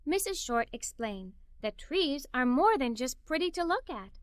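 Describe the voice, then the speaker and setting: A woman reading a children's story in an expressive, scripted narrating style. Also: sits high, measured pace, no echo. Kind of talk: scripted read-aloud story narration for kids.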